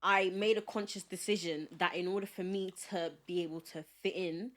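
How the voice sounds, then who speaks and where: A woman's voice: fairly low pitch, brisk. Chatty young woman. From Nigeria. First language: English. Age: young adult. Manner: chatty, animated storyteller, switches voices. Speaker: podcast guest. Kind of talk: casual podcast chat.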